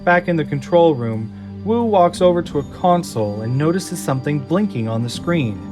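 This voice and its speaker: A man narrating a scripted audio drama in a measured, descriptive manner. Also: on the high side, lively intonation, over music.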